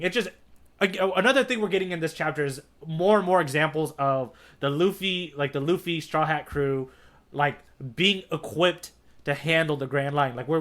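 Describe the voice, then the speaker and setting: Enthusiastic man, slightly high voice. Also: a bit bright. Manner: informal, enthusiastic. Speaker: podcast co-host. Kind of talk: casual chat about manga.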